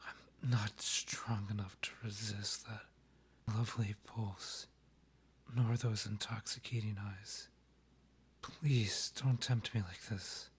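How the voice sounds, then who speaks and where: A man plays a vampire king in a romantic audio roleplay. His low voice is very breathy, often sinking into a half-whisper. He courts his beloved slowly and formally, yearning and tender. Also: exaggerated voice. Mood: pleading, tempted. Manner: formal, courtly phrasing, slow pleading delivery.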